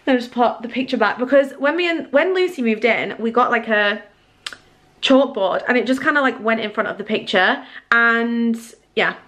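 A woman in her twenties chatting casually to the camera for her vlog, upbeat and quick with asides to viewers. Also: on the high side, expressive, a bit bright, no echo. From England. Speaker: vlogger. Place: at home, then inside a parked car. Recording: car and traffic noise behind.

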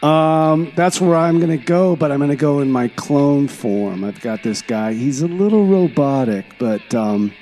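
Man playing mellow, rambling time traveler, music behind. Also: fairly high pitch, a little slow, hardly any pauses, lightly acted. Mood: casual, rambling. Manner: rambling, offhand. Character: laid-back, rambling male time traveler calling in. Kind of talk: casual comedy radio monologue.

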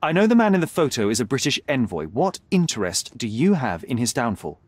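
An actor playing a confident, sharp young detective who speaks formally and articulately, needling and unflappable in a dramatic video game style. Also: male voice, mid-range voice, big pitch swings, brisk, no echo. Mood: assured, accusing.

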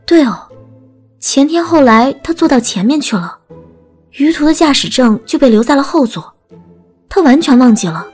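A woman narrates a story in a scripted audiobook style. Her voice sits a little high. Soft music plays faintly behind her. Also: animated, normal speed, long pauses.

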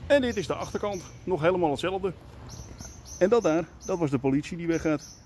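A man's voice: a little high, animated, fairly quick, a little mellow, a little hiss or hum. A man in his forties vlogging casually from a camera held at arm's length, musing aloud with lots of fillers as he rides around and heads home. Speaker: urbex vlogger. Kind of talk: casual vlog commentary. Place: outdoors on a scooter, later indoors in a stairwell.

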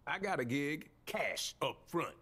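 An American actor playing a shrewd, easygoing older job broker in a video game, smooth-talking and teasing. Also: male voice, a little high, very animated, a little slow, bright, forceful tone, slight background noise, full character voice. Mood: wry, businesslike. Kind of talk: video game cutscene acting.

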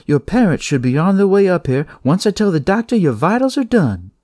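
A narrator voicing a warm, brisk female hospital nurse with a rich voice and folksy, reassuring chatter. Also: medium pitch, very animated, dry recording, studio quality, pitched above the performer's own voice, close to an everyday voice. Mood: brisk, kindly.

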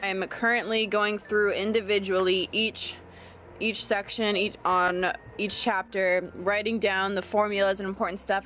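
A woman's voice: medium pitch, fairly bright tone, a little hiss or hum. Chatty young woman vlogging to camera. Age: young adult. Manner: chatty and bubbly, quick asides. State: stuffed up nose from allergies. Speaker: college student vlogger. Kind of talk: casual vlog chat to camera. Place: college dorm room, indoors. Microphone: camera held at arm's length.

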